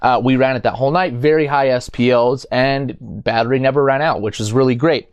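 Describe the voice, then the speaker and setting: A man's voice: mid-range voice, fairly even intonation, a little fast, clear, resonant tone, no room sound. A man talking casually and unscripted as a product reviewer, explaining things in a conversational way.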